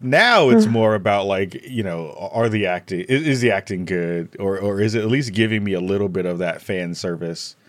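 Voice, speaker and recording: A man with a fairly low voice talks casually in a panel discussion, recorded close and dry. His delivery is rambling and jokey, with animated emphasis as he works through his thoughts. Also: moderate pace, few pauses, dry recording.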